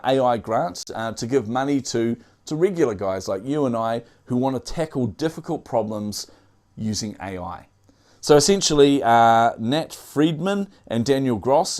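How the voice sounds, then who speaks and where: A man's voice: mid-range voice, darkish tone. A man with a New Zealand accent hosting a casual tech news show, chatty, with frequent fillers and dad jokes.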